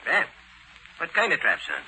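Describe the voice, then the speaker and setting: Forceful older police inspector. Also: male voice, medium pitch, wildly swooping pitch, moderate pace, full character voice. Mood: businesslike. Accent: American. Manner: clipped, ordering. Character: older male police inspector, brisk, commanding, fatherly. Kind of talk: 1940s radio acting. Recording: noisy 1940s radio recording.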